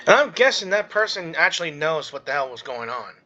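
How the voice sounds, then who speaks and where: A man's voice: on the high side, very animated, fairly quick, bright, forceful tone. A man giving casual, reactive commentary while he plays a video game, recorded on a lo-fi mic. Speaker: gamer reacting while playing. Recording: dull lo-fi mic over game music.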